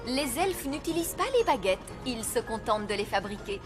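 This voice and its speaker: A woman's voice: fairly high pitch, expressive, fairly quick, over loud music, natural voice. A woman voicing a bossy cartoon fairy nanny in a French dub, fussy, schoolmistressy and theatrical in manner. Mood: brisk, instructive.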